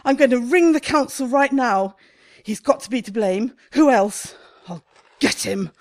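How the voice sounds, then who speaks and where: An actress in a radio comedy playing a highly strung, indignant woman shop owner, agitated and melodramatic. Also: unhurried. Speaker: actor in a radio play.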